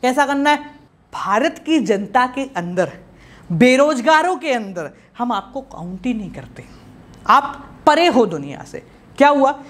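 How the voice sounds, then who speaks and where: A man in his thirties teaching an exam-prep economics class in a studio, playful and energetic, drilling key terms by repeating them. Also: very high-pitched, unhurried. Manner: lively and playful, repeats key terms, gestures while talking. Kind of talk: energetic exam-prep lecture, Hindi mixed with English. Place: studio in front of a projected slide screen.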